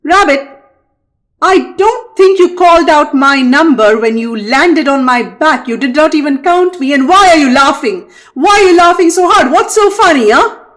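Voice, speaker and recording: A woman's voice: fairly low pitch, very animated, unhurried, hardly any pauses, darkish tone, pitched below the performer's own voice. A woman voicing an alligator in a folktale, insistent and nagging. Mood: indignant. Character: grumpy alligator in the swamp, easily fooled.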